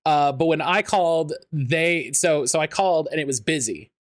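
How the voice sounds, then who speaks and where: A man hosting a casual chat interview, talking in a halting, rambling way and often interrupting himself. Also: fairly high pitch, no echo, studio quality. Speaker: podcast host.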